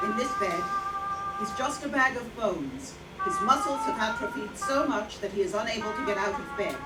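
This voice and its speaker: An actress plays a haunted woman telling her memories in a stage monologue, halting and repeating words. Her voice is fairly high, heard from a distance in an echoing room with music behind. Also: close to an everyday voice. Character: haunted, hesitant woman, former nurse, writing her memories. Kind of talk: staged play reading, reflective monologue.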